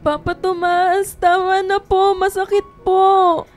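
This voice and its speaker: A frightened young daughter in a radio drama. Her voice is extremely high and rather flat in melody, plaintive, over soft background music. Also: slow pace. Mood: terrified pleading.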